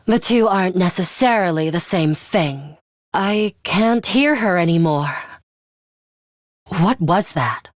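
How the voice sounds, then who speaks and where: A woman's voice: mid-range voice, dry recording, studio quality, lightly acted. A woman playing a bloodthirsty, disdainful young female student commander in a video game, haughty, taunting and commanding.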